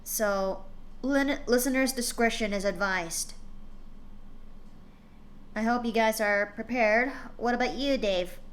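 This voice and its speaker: A woman's voice: on the high side, slow, long pauses. A woman hosting a live stream, chatting casually with lots of fillers and restarts before reading fan fiction aloud.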